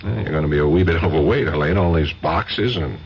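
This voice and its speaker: A man's voice: rumbling low, fast talker. An actor playing a dry, wry male investigator in a radio drama. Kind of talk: 1970s radio drama acting. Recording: lo-fi old radio recording with faint hiss.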